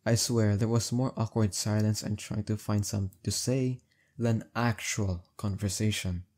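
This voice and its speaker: Guy acting as a young heartbreaker friend, mellow husky voice, casual halting talk, intimate roleplay audio. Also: on the low side, steady tone, close to an everyday voice. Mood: awkward, let down.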